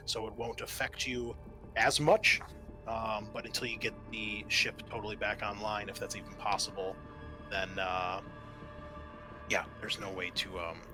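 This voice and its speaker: A man acting as game master, explaining a scenario and thinking aloud as he recalls the rules. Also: lowish voice.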